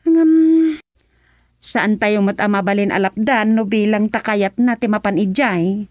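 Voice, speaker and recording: A woman's voice: medium pitch, measured pace, darkish tone, dry recording, lightly acted. A woman with an Ilocano Filipino accent playing a disapproving village gossip in a radio comedy-drama.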